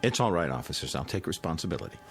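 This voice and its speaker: An actor playing a calm, authoritative male doctor in a television drama, speaking with dry, measured condescension. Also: very deep voice, gravelly, soft background music, exaggerated voice.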